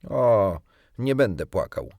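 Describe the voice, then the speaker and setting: Man playing a cocky, very animated little boy. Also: fairly low pitch, slow pace, gravelly, no echo, studio quality, exaggerated voice. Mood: boastful, gleeful. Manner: gleeful crowing, offhand. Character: cocky, boastful, carefree little boy, stubbornly childlike.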